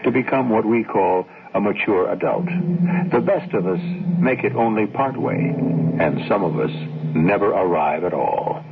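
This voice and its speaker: A man's voice: animated, normal speed. A man playing a solemn, philosophical storyteller who introduces a tale in an old-time radio drama. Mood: solemn, reflective. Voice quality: low gravelly voice. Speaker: narrator host of a radio mystery anthology. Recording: noisy, tinny old radio recording.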